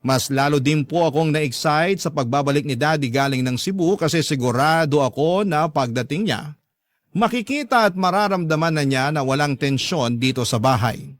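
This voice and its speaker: A man's voice: on the high side, normal speed, dry recording. A man with a Filipino accent, a radio narrator reading a listener's letter in the first person as a dramatic story.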